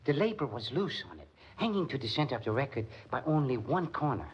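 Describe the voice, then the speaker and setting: An actor with a German accent playing a lovesick, deranged musician turned murderer, sinisterly tender and theatrical in 1940s radio style. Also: male voice, mid-range voice, big pitch swings, fast talker, natural voice. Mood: gloating. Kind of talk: 1940s radio drama acting. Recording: muffled 1940s radio recording, faint noise.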